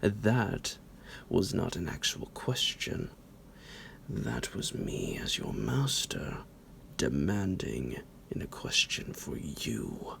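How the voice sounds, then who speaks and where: A sinister vampire doctor for an ASMR roleplay, speaks extremely slowly in a voice that swoops wildly between soft and menacing. He is possessive and condescending, with heavy gravel in his breathy tone and a theatrical, mock-affectionate drawl. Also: deep voice, exaggerated voice. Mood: stern, threatening. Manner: drawn-out, menacing, mock-affectionate with pet names.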